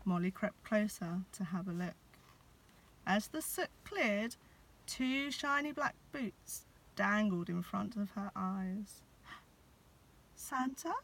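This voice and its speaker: Slow, expressive woman reading a storybook. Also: mid-range voice, low-quality recording. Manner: dramatic pauses, addresses young listeners. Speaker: teacher reading a picture book. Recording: clear background noise.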